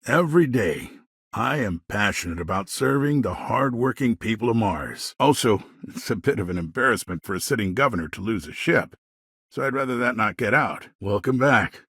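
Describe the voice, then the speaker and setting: A man playing a smooth-talking, self-important middle-aged colonial governor in a video game, with polished politician's phrasing and a husky voice. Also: on the low side, expressive, normal speed, fairly bright tone, dry recording, clean studio sound, exaggerated voice. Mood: smooth, politicking. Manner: polished politician's talk, veiled threats. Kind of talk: game dialogue voice lines.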